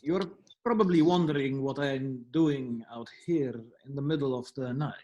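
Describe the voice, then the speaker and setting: A man's voice: medium pitch, moderate pace, a little mellow, lo-fi sound, close to an everyday voice. A man playing a sinister villain who feigns concern, arch and falsely sympathetic, in a comic amateur radio play. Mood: menacing.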